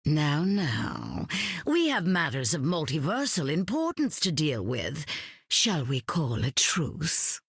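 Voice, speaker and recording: An actress playing an imperious goddess queen of the dead in a video game, measured and regal with a husky menace. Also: sits low, huge pitch swings, dry recording, studio quality, exaggerated voice. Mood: smooth menace.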